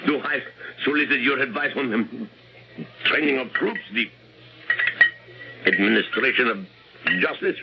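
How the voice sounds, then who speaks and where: An actor playing a gluttonous, self-pitying aging dictator in a radio drama, with halting, repetitive, grandiose delivery. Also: male voice, medium pitch, expressive. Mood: grandly commanding. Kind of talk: old-time radio drama acting. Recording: muffled, noisy old radio broadcast.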